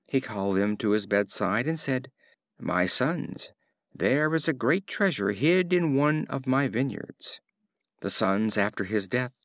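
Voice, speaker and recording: A man reads fables in an expressive storybook style. His medium-pitched voice rises and falls widely. The recording is dry, with little room sound. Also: dry recording. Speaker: audiobook narrator.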